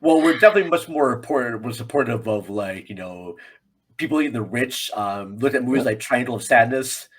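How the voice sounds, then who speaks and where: American guy, kinda husky, rambly casual movie podcast guest, average pitch. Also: animated, fairly quick. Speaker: podcast guest and film commentator. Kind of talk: casual film discussion.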